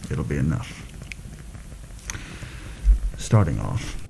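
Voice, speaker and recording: Man playing a soft-spoken, gravelly fantasy assassin. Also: a bass voice, sing-song, background noise, heavily acted. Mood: focused, businesslike. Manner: measured, hushed, deliberate. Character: seasoned male fantasy assassin, wary, proud, quietly thrill-seeking. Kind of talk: soft-spoken ASMR roleplay.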